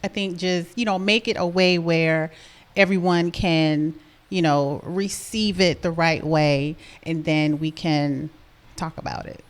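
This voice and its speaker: A woman's voice: low-pitched, fairly even intonation, measured pace. A woman co-hosting a podcast in a casual, easygoing way, answering her partner with frequent affirming murmurs. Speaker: podcast co-host. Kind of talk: casual chat.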